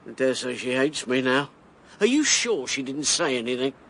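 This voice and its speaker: An actor playing a brash, volatile election candidate and ex-army major in a radio drama, defiant and agitated. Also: male voice, medium pitch, very animated, moderate pace, a bit bright, slight background noise. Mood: defensive, needling.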